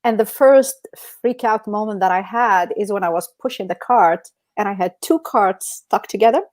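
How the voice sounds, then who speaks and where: A middle-aged woman co-hosts a casual podcast in a mid-pitched voice. She learned English later and talks in a chatty, expressive storytelling style. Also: moderate pace. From Algeria. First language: not English. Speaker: podcast co-host.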